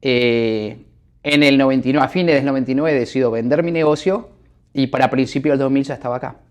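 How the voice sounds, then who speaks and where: Middle-aged argentine guy, calm, lots of eh's, normal pitch. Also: fairly quick. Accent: Argentine Spanish. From Buenos Aires, Argentina. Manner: calm, reflective, hesitant with fillers. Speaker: businessman, interview guest. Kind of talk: telling his life story. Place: office.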